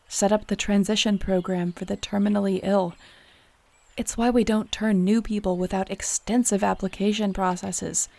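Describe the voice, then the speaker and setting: An actress playing a defiant, principled young shapeshifter heroine in an audio drama, speaking with earnest, firm indignation. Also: female voice, mid-range voice, gravelly, warm tone. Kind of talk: dramatic audio roleplay acting.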